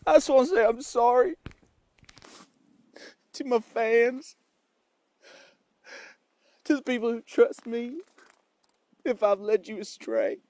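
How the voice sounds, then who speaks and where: A man's voice: extremely high pitch, fairly even intonation, moderate pace, few pauses, a little mellow, clear, resonant tone, no echo. A man playing Tex Montana, a desperate survival-show star. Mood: confessional. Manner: profane, emotional confession to camera. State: cold, hungry and weary. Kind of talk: comedic monologue.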